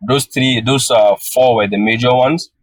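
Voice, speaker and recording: A young man from northern Nigeria with a Nigerian accent, native Hausa speaker, answering interview questions in a measured way over a call, with frequent fillers and restarts. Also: mid-range voice, steady tone, dry recording, rough recording. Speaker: guest photographer.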